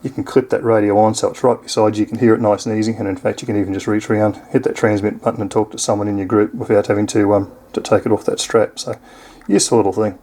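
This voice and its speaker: Low, mellow-voiced Australian man. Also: flat delivery, quick pace. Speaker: product reviewer. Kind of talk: casual unscripted product demonstration.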